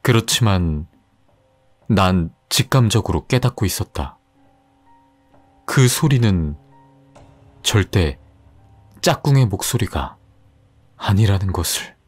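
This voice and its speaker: A man narrating a horror story in a slow, suspenseful scripted delivery. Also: low voice, expressive, breathy edge, faint music behind.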